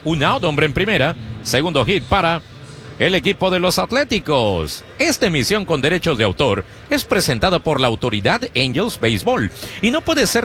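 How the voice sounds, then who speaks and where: A man announcing a baseball game play by play for a radio broadcast, in a rhythmic, animated calling style. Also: a little high, slight background noise. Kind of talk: Spanish-language baseball radio commentary.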